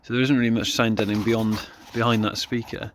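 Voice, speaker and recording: Man, mid-pitched voice, informal DIY vlog, off-the-cuff, self-deprecating, faint background noise with clicks. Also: level tone, fast. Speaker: car hobbyist presenting a DIY video. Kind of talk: casual hands-on demonstration vlog.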